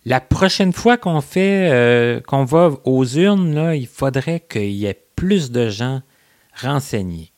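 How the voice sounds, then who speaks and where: Man host, chatty, lots of euhs, mid pitch. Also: animated, takes it slowly, a little mellow. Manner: chatty, many fillers and restarts. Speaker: interview host. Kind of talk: casual remote interview.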